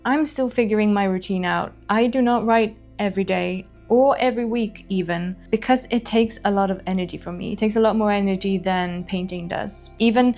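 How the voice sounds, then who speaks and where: A woman's voice: mid-range voice, brisk, hardly any pauses, a little mellow, soft background music. A young woman from northern Sweden, an illustrator, talking to camera in a casual, thoughtful, frank way, with fillers like "like" and "so yeah.". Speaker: illustrator and video creator. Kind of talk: casual reflective talk to camera.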